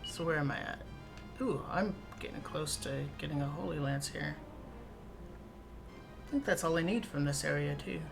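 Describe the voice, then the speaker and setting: Minnesotan woman streamer, lowish gravelly voice, slow, lively pitch, game music behind. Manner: chatty, giggly, playful. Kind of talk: casual gameplay chat.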